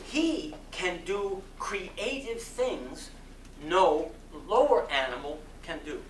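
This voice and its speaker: Guy doing a solemn dramatic sermon, slow and emphatic, kinda far from the mic in a roomy hall. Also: sits high, animated. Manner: solemn, emphatic, deliberate dramatic phrasing with long pauses. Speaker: reader performing a sermon. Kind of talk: dramatic sermon reading, oratorical. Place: community center hall before an audience. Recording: roomy echo, distant mic, faint noise.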